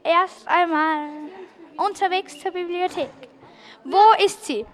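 High-pitched child radio reporter. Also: slow, a bit bright, slight background noise. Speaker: child reporter interviewing people. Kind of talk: live on-location interview.

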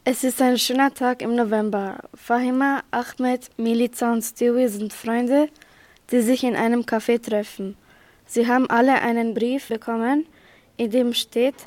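Young, high mellow female narrator. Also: steady tone, measured pace, dry recording. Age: young, school pupil. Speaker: narrator of a school radio play. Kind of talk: scripted story narration, read aloud.

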